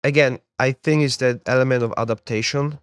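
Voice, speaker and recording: A man's voice: medium pitch, a little fast, smooth, dry recording. A young adult man born in Poland, a sports therapist and MMA coach, talking casually as an interview guest and often repeating words as he thinks. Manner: relaxed, repeats words while finding them.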